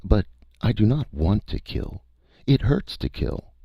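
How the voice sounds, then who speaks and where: Man voicing a courteous alien creature, gravelly and raised. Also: on the low side, big pitch swings, no echo, lo-fi sound, pitched above the performer's own voice, exaggerated voice. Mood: plaintive. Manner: polite, measured, formal. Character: Cytha, eerie alien creature, calm, courteous, pleading. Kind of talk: audiobook character voice.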